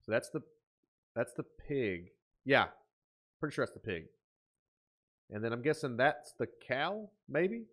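A man in his thirties giving off-the-cuff reaction commentary, thinking aloud in an excitable but musing way. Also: medium pitch, a little slow, long pauses. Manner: excitable, exclaiming, dropping to musing asides. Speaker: host of a reaction video. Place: small indoor room at a desk.

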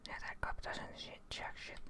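A young woman who is not a native English speaker, whispering softly and breathily in ASMR game commentary, close to the microphone. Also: normal speed. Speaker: ASMR gaming streamer. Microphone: seen close microphone, wearing headset.

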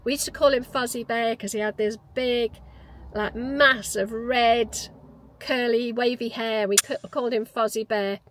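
A Geordie woman in her fifties talks from the driver's seat of a parked car into a clip-on mic. Her voice sits a little high. She tells her story in a chatty, reflective way and often starts a phrase over. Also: steady tone, a little slow, a bit bright, a little hiss or hum, low-quality recording. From North East England. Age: 50s. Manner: chatty, reflective, with frequent restarts. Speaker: vlogger. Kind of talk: casual personal storytelling. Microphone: lapel mic.

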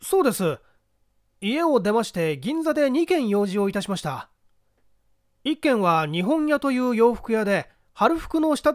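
A man playing a polite, cooperative gentleman suspect who speaks formally in a mystery audiobook. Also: very high-pitched, no room sound, clean studio sound, pitched above the performer's own voice. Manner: formal, courteous.

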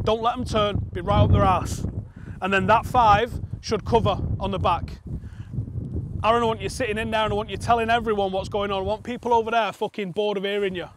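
A man, a football coach giving a blunt, sweary pre-match team talk outdoors on a pitch. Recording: outdoor pitch with faint background noise.

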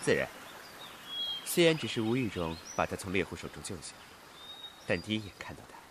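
A man's voice: low voice, very animated, fairly quick, noisy background. An actor playing a playful, genteel male immortal in a costume audio drama. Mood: smug, fond.

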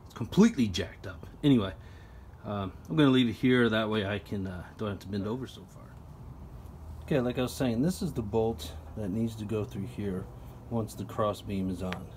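A man casually explaining a tool setup while working with his hands, chatty and relaxed with plenty of ums. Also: lowish voice, moderate pace, a little hiss or hum. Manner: relaxed, chatty, lots of ums and you-knows. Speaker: product reviewer. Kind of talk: casual how-to narration.

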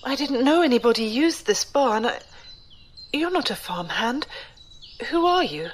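An actress playing an energetic, apologetic travelling nun in an audio drama. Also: female voice, on the high side, measured pace, a little hiss or hum. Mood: startled, apologetic.

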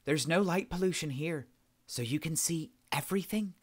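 Man acting woman adventurer, lifted pitch, blunt, friendly, informal, audiobook character. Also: moderate pace, natural voice. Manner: informal, plain-spoken.

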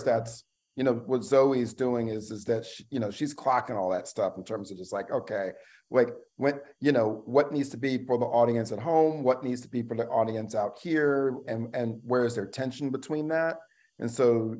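A middle-aged man talks casually as a guest on a video call. His pitch is average, and he feels his way through explanations, often checking that he is understood. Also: darkish tone. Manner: searching, checks in with "right?" and "you know". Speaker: actor, panel guest. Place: indoor room on a video call.

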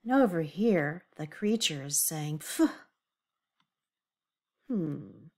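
Female storybook reader for young children: animated, slow-paced, expressive, with confiding asides. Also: low voice. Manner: animated, with emphatic drawn-out words and confiding asides. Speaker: children's read-aloud teacher. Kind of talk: expressive picture-book read-aloud with questions for young children.

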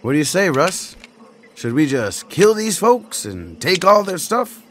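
Brash, menacing American drifter. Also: male voice, a little high, sing-song, measured pace, a bit bright, a little hiss or hum. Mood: cold, threatening. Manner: brash, profane, domineering. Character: foul-mouthed, menacing drifter, adult man. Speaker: video game character. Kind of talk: dramatic video game acting.

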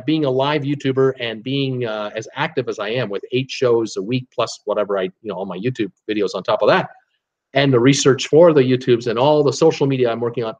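A man's voice: medium pitch, lo-fi sound. A man in his sixties hosting a live stream from his home office. He reads viewer comments and chats casually, with lots of "uh" fillers. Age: 60s. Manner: rambling, chatty, frequent "uh" fillers, animated hand gestures. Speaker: cruise travel YouTuber hosting a livestream. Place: home office with shelves of cruise mugs, indoors.

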